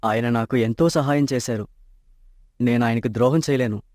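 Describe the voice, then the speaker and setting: A man's voice: medium pitch, normal speed, a little mellow, no echo, studio quality, lightly acted. An actor playing an honest, poor young man in love in a storybook tale, earnest and troubled.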